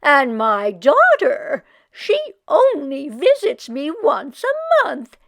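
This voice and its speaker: Woman acting loud, wailing old village kvetch. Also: high voice, huge pitch swings, slow, creaky, exaggerated voice. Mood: wailing complaint. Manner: wailing, exaggerated complaining with Yiddish exclamations. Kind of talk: storybook character voice.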